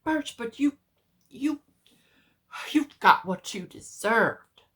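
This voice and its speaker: A woman's voice: fairly high pitch, animated, takes it slowly, pushed and bright, pitched above the performer's own voice, full character voice. A woman voicing a horrified male country doctor who urgently berates his patient in a dramatic horror story reading. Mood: appalled, agitated. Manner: agitated, emphatic, exclaiming.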